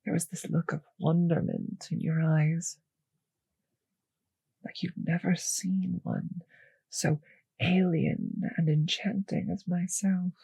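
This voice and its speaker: Breathy, seductive vampire mistress, acted by a woman. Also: lowish voice, steady tone, dark tone, dry recording, heavily acted. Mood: tender, hypnotic. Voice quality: breathy, often slipping into whispers. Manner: slow coaxing, teasing, commanding. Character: seductive, possessive female vampire mistress with hypnotic hold. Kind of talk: intimate ASMR audio roleplay. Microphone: close microphone, dry.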